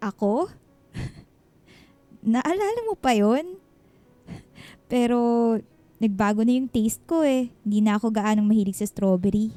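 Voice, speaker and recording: A woman's voice: fairly high pitch, expressive, measured pace, pauses often, dark tone, dry recording, faint music behind. A woman playing a shy, modest single mother talking with her old sweetheart in a romantic radio drama, hesitant and bashful. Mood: bashful, evasive.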